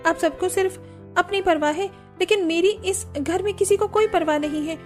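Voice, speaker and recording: A woman's voice: very high voice, normal speed, faint music behind, voice pushed up. A woman voicing an aggrieved young woman in an Indian family cartoon, complaining insistently. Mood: upset, aggrieved. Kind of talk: acted cartoon story dialogue.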